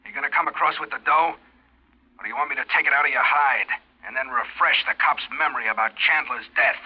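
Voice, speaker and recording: Husky menacing gangster, acted by a man. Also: medium pitch, fairly quick, lightly acted. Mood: threatening. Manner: hard-boiled, threatening. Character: menacing, tough male gangster blackmailer, bitter and impatient. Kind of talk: 1940s radio drama acting. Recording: dull old radio recording.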